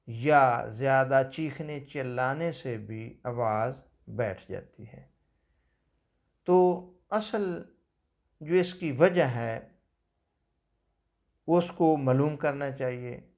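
A man in his fifties with a Pakistani Urdu accent, giving a calm, measured talk on home remedies from a small office. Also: mid-range voice. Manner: measured, unhurried explanation with religious phrases. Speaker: herbal medicine practitioner. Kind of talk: calm instructional health talk. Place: small office seated at a desk.

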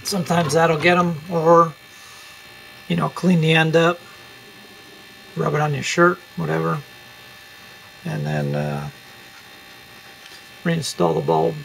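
A man's voice: on the high side, slow pace, creaky, pauses often, rough recording. A man narrating a hands-on repair tutorial in a casual, folksy, informal way, recorded at home on a handheld camera. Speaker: repair video host. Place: room at home. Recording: steady hum and room noise.

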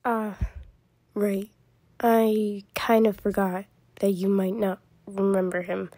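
A woman acting out characters for a fan comic dub in a mellow voice, with hesitant, dramatic pauses. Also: mid-range voice, flat delivery, no room sound.

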